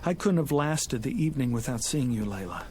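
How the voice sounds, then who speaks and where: An actor playing a lovesick, desperate, impetuous suitor, eager and effusive, in a vintage television drama. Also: male voice, mid-range voice, lively intonation, brisk, mellow, a little hiss or hum, natural voice. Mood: pleading.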